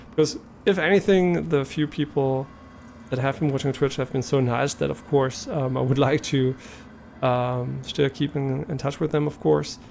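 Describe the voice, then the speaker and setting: A man's voice: medium pitch, gravelly, a little mellow, a little hiss or hum. A young man with a German accent, talking casually on a live stream, hesitant and rambling with many fillers and restarts.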